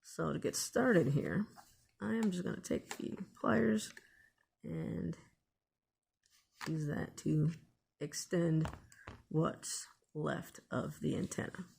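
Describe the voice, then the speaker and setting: Woman, DIY host, gravelly creak, unhurried pace, casual and unscripted. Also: low voice, a little mellow, slight background noise. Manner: unscripted, thinking aloud while working. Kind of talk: casual hands-on repair tutorial.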